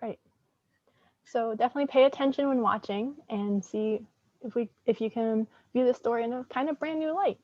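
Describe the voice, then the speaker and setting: A woman with a fairly high voice co-hosts in a casual style. The recording is dry and a little muffled. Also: normal speed, no echo. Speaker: co-host, nonprofit director. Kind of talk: casual welcome for online show.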